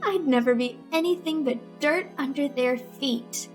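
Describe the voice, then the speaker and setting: A woman's voice: high-pitched, a little slow. A woman playing a bitter, scheming teenage girl in a melodramatic old-time radio suspense play, with music under her. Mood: bitter, resentful. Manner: melodramatic, resentful. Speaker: narrating lead in a radio melodrama.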